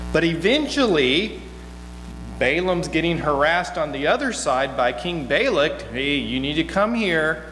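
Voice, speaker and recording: A middle-aged man giving an informal, story-driven sermon from a church pulpit in a folksy, conversational manner. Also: fairly high pitch. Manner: folksy, conversational, animated asides. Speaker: guest preacher. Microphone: gooseneck pulpit microphone. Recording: echoey hall, long reverb, mains hum.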